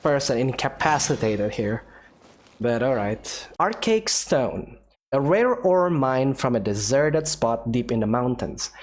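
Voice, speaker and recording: A man's voice: medium pitch, a little mellow. A man hosting a game playthrough, giving casual, musing, somewhat hesitant commentary and reading in-game text aloud. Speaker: gaming host, player.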